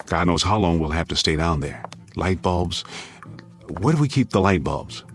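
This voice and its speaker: An American man playing an anxious but steady father in a radio drama, speaking in a firm, earnest, commanding way. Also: deep voice, moderate pace, faint music behind. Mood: controlled urgency. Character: anxious but steady father preparing family for nuclear attack.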